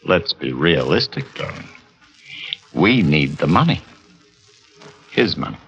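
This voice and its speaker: An actor playing a suave, cold-blooded lover plotting murder in a 1940s radio drama, smooth and persuasive. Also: male voice, rumbling low, big pitch swings, slow, full character voice. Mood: cold, calculating. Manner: smooth, persuasive, falsely hearty. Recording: muffled vintage 1940s radio, loud music behind.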